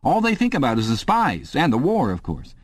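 A man's voice: medium pitch, wildly swooping pitch, brisk, dry recording, clean studio sound, voice pushed up. A man voicing a hearty, sweaty, boorishly keen middle-aged man who talks in a chummy, blustering way.

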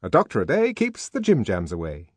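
A man's voice: fairly high pitch, big pitch swings, fast talker, husky, no echo, pitched above the performer's own voice. A man doing a hearty, pushy young man. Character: hearty, meddling young man, a hatchery worker.

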